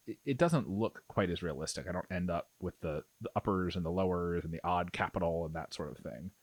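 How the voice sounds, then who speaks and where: A man's voice: low voice, no echo. A man giving a casual, step-by-step instructional voice-over in an informal, explanatory way. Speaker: miniature painting tutorial host.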